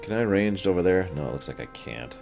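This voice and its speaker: Male streamer, low voice, lively swings in pitch, chatty running commentary, faint music underneath. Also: brisk, gravelly. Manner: chatty, talks to chat, swings into mock outbursts. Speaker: game streamer. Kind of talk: casual live gameplay commentary.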